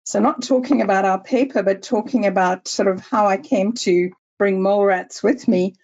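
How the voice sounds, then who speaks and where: A woman in her sixties with a Zimbabwean accent, a researcher answering interview questions in a measured, storytelling way. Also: medium pitch, steady tone, darkish tone, highs cut off. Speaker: guest researcher.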